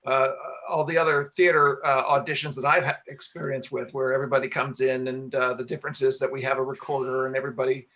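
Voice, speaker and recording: A man's voice: medium pitch, flat delivery, quick pace, hardly any pauses, pushed and bright, poor audio. A man answering questions as a radio play writer and director at a live Q&A, explaining things in a hesitant, rambling way. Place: talk before a live audience.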